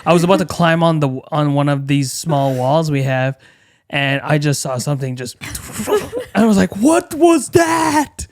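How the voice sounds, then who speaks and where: A man co-hosting a casual podcast chat, telling lively work stories and acting out what people said. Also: on the high side, measured pace, no echo. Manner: animated storyteller, acts out quotes. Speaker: co-host.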